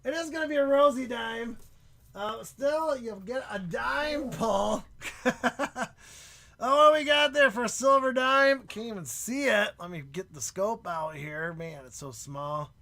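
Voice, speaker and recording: A man hosting a live stream in casual chat, excitable and prone to loud shouts and laughter, and losing his voice. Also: extremely high pitch, measured pace, gravelly. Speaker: livestream host.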